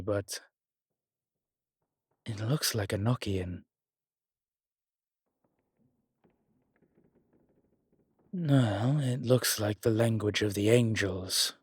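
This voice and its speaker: A man playing a strong, scholarly demon in a horror roleplay audio, explaining things in a deliberate, halting, pedantic way. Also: on the low side, breathy edge, dry recording, clean studio sound. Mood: scholarly, puzzled. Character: strong, scholarly male demon, exasperated occult lecturer. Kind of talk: immersive one-sided roleplay audio drama.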